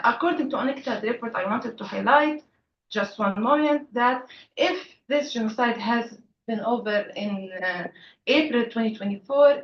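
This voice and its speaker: A woman's voice: fairly high pitch, fairly bright tone, highs cut off, slight background noise. Earnest woman far off in an echoey hall. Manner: earnest, pointed, some hesitation. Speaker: audience speaker. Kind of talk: floor intervention at a panel.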